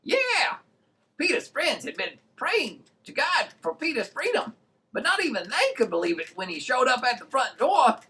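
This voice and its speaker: A woman's voice: high-pitched, huge pitch swings, normal speed, pushed and bright, full character voice. A woman voicing a cheerful, earnest young puppet kid who explains things simply on a children's show. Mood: excited. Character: excitable young puppet kid named Tommy, cheerful teacher.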